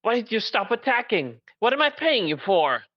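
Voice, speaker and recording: A man voicing a furious, tyrannical female sun princess who shouts insults at her underlings, over a dull voice call. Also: high voice, very animated, normal speed, dry recording, pitched above the performer's own voice. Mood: enraged. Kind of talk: fan reading over voice call.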